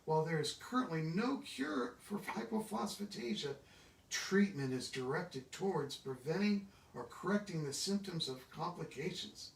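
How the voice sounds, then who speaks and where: A man's voice: on the high side, expressive, moderate pace, vocal fry. Man reading aloud in an echoey room. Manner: stumbles over long words. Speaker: book reader. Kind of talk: reading a children's book aloud. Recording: echoey room, distant microphone.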